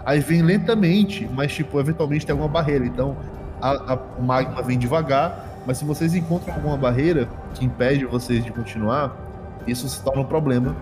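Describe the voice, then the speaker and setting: A Brazilian man improvising tabletop game narration as game master, halting and often repeating his phrases. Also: medium pitch. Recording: long hall reverb, music behind.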